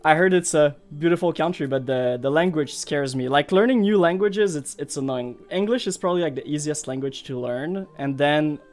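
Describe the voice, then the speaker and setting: A native French-speaking man from Montreal talks with a Quebec French accent over background music. He is a game streamer chatting casually to viewers. His delivery rambles and hesitates, as he thinks out loud. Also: on the high side, moderate pace, hardly any pauses. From Montreal, Canada. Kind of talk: casual live gameplay chat.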